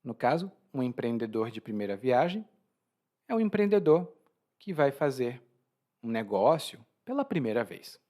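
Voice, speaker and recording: Lively-voiced male teacher. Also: mid-range voice, normal speed. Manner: explanatory, frequent restarts and laughs. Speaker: Portuguese teacher. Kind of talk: language lesson explaining expressions.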